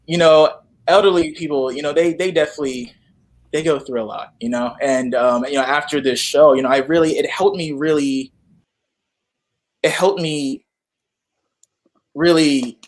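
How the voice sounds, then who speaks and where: A young man chatting casually as a guest, often repeating himself and searching for words. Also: medium pitch. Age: young adult. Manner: halting, repetitive, searching for words. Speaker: guest, actor in a student radio play. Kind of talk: casual interview chat.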